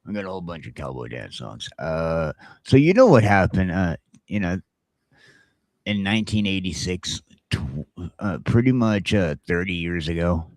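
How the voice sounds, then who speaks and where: A man hosting a casual morning talk show, rambling haltingly and sounding groggy, with a crude joking streak. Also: low-pitched, lively intonation, no room sound.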